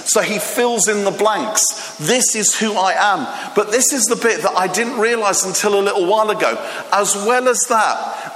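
A Greek-born man preaching in a church hall, his bright, forceful voice carrying a long reverb. He tells his stories with lively animation, restarting sentences and dropping in fillers. A faint room ambience sits behind him. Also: fairly high pitch, a little hiss or hum. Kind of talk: sermon.

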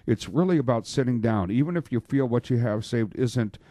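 A man's voice: medium pitch, animated, fairly quick, mellow, no room sound. A man hosting a radio talk show about money, chatty and joking, with lots of fillers. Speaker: radio show host, financial planner. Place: radio studio. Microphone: studio microphone.

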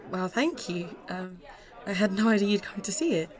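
A woman's voice: mid-range voice, lively intonation, normal speed, close to an everyday voice. An actress playing a nervous, eager young woman in a radio play, apologetic, hesitant and polite in naturalistic dialogue. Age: young adult. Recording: busy restaurant chatter behind. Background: restaurant crowd chatter.

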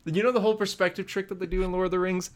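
A man's voice: high-pitched, flat delivery, very fast. A man hosting a podcast with casual, playful, tongue-in-cheek banter about comics. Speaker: podcast host.

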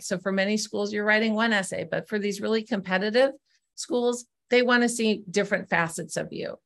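A middle-aged woman, a guest on a podcast, explaining her work as an essay coach in an earnest, step-by-step way. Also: mid-range voice. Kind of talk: explanatory interview answers.

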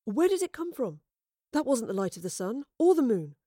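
A woman's voice: a little high, big pitch swings, moderate pace, warm tone, no echo, clean studio sound, pitched above the performer's own voice. A woman voicing an earnest, questioning young Roman soldier, a man, in an audio storybook. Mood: insistent. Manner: earnest, searching.

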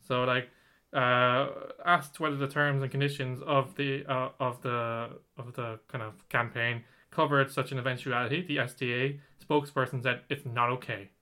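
A man's voice: mid-range voice, flat delivery, normal speed, sharp tone. A man co-hosting a podcast, reading out a news story in casual chat, rambling with many restarts. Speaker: podcast co-host.

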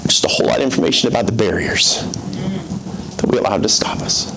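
A pastor with a soothing voice, preaching a conversational sermon with self-deprecating jokes in a church service. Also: male voice, fairly low pitch, quick pace, gravelly, crowd noise.

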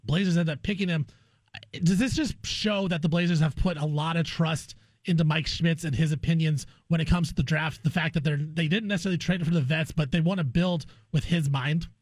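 Guy filling in as sports radio host, chummy and informal, voice a bit high, dry studio sound. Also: steady tone, a little fast, no room sound. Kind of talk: sports talk radio interview.

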